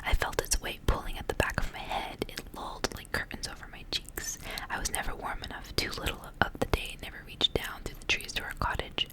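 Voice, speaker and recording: Whispering woman reading a story as ASMR. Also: poor audio. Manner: soft unvoiced whisper, hushed and deliberate, phrase by phrase.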